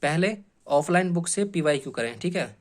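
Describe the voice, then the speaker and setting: Male coaching teacher, slightly high voice, informal instructional talk, explanatory, frequent check-in tags, English terms mixed in. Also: animated, moderate pace. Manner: explanatory, repeatedly checks in with short tag phrases; mixes English terms into speech.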